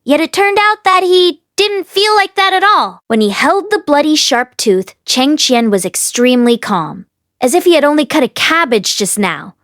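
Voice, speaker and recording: A woman reading a fantasy novel aloud as scripted narration, in an expressive storytelling manner. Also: sits high, moderate pace, no room sound, studio quality. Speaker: audiobook reader.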